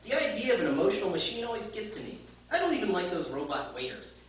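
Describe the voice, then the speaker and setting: A man's voice: sits high, very animated, fast, slight background noise. An actor playing a wry, skeptical planetary surveyor who tells his own tale in a staged old-time radio drama. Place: convention stage. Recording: echoey stage recording, distant and dull.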